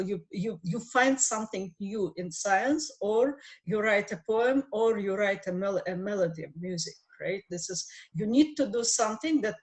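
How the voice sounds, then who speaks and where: A woman's voice: mid-range voice, a little mellow. Earnest woman on a video call. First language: Russian. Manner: earnest, searching for words. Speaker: participant. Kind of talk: casual online discussion.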